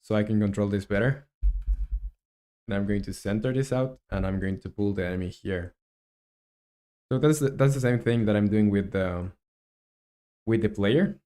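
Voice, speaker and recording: Male live streamer, native Spanish speaker, lowish pitch, casual and halting delivery, thinking aloud while coding and answering chat. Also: dark tone, clean tone. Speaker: live streamer, game developer.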